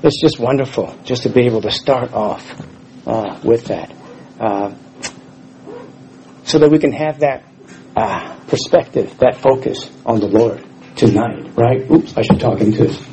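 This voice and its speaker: A man's voice: medium pitch, unhurried, warm tone. A middle-aged man from Houston, with a scratchy voice, giving a casual sermon as guest speaker and restarting his sentences often. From Houston, Texas. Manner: informal, self-deprecating, restarts often. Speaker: guest speaker, former missionary. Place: church hall.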